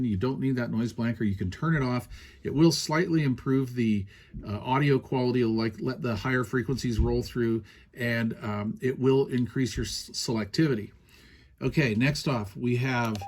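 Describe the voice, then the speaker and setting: A man's voice: medium pitch, a little mellow, slight background noise. A man giving a casual hands-on product demonstration as a reviewer, explaining things in a conversational way.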